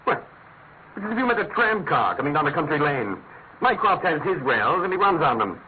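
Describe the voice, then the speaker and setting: A brilliant, dry-witted gentleman detective, musing aloud in theatrical radio drama fashion. His voice sits slightly high and moves quickly with animated swings, heard through a tinny, hissy old radio sound. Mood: amused, expounding. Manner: articulate, declamatory, musing. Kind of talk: classic British radio drama acting. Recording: tinny narrow-band radio recording with hiss.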